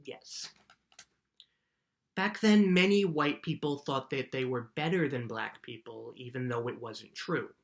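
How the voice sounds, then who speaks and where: A woman playing a calm, patient mother in a children's book reading, explaining in a measured way. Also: a bass voice, gravelly, heavily acted. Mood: serious.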